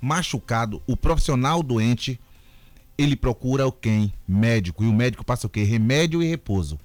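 Chatty, joking radio sports commentator. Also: male voice, mid-range voice, expressive, moderate pace, a little mellow, no echo. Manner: chatty, joking, then reasoned.

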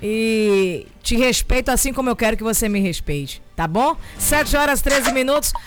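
A woman with a Bahian accent hosting a morning radio show, chatty and teasing, sending shout-outs to listeners from the studio. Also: on the high side, animated, normal speed, over music. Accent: Bahian Brazilian Portuguese. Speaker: radio host.

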